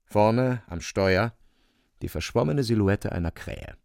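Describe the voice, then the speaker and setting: Low, gravelly, animated male storyteller. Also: no echo, studio quality, heavily acted. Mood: wry, suspenseful. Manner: theatrical, wry, short phrases with pointed pauses. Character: wry, vivid male storyteller relishing grotesque events. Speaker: narrator. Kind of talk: dramatic literary narration in a radio play.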